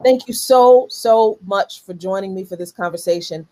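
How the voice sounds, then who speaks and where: A woman's voice: mid-range voice, normal speed, dark tone. A woman hosting a live current events talk show, speaking earnestly and repeating phrases for emphasis. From United States. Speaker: show host.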